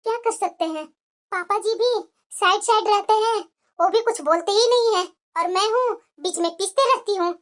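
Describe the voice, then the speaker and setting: A woman voicing a meek but resentful young housewife in a cartoon family drama, deferential and plaintive, with a pitched-up voice. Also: moderate pace, fairly bright tone. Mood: hurt, resentful.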